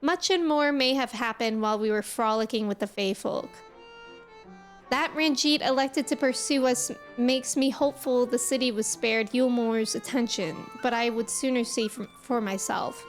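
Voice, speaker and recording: A woman reading aloud the lines of a steady young male companion in her own voice. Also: fairly high pitch, normal speed, faint music behind, natural voice.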